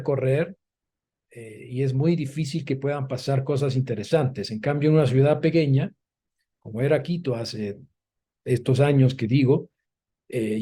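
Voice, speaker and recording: A man's voice: on the high side, warm tone. An older man from Italy, native Italian speaker, answering interview questions in a thoughtful, hesitant way with frequent restarts. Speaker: guest academic.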